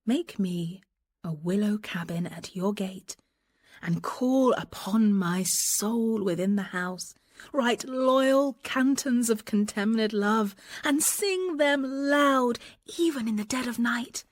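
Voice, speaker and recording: An actress playing a bold, passionate young woman disguised as a gentleman messenger, speaking verse earnestly and lyrically in a Shakespearean radio drama. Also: fairly high pitch, dry recording, studio quality. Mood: passionate pleading. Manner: earnest, lyrical, deliberate delivery.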